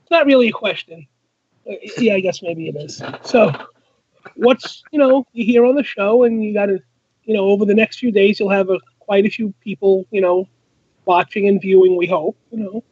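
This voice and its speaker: Very high-pitched man, roomy lo-fi sound. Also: normal speed, a little mellow, breathy edge. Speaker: show co-host. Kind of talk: casual online show chat.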